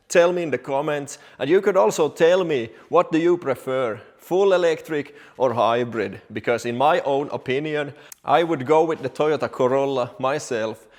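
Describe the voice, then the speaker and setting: A man from Finland speaks in a mid-range voice. He hosts an explanatory car vlog as a technician, addressing viewers directly in plain-spoken, English. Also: mid-range voice. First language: Finnish or Swedish. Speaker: car technician and video host.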